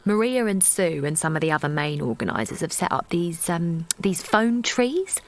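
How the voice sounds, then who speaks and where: An earnest mum from a small town in a radio drama. Her pitch moves about a lot and her talk is chatty and unpolished. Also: very animated, normal speed. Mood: chatty, willing. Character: Linda, earnest, plain-spoken small-town English mum turned protester. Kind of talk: naturalistic audio drama, dialogue and narration.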